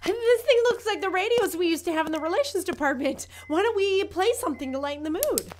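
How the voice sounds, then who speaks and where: A woman's voice: extremely high pitch, expressive, fast, slight background noise. A woman playing a blunt, sardonic, protective worker in an audio drama, dry and terse. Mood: forced calm, urgent.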